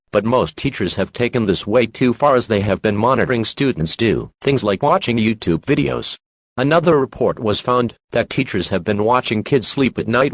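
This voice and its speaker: A male text-to-speech announcer reads a formal emergency alert. The voice sits fairly low and the recording is very dry, without any room sound. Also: no echo. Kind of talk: scripted emergency broadcast announcement.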